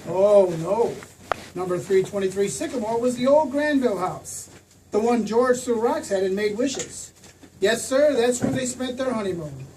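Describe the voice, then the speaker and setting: An actor narrating a small-town tale as a storyteller in a 1940s-style radio drama. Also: male voice, sits high, animated, normal speed, darkish tone. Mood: wistful storytelling. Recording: distant stage mic, clear background noise.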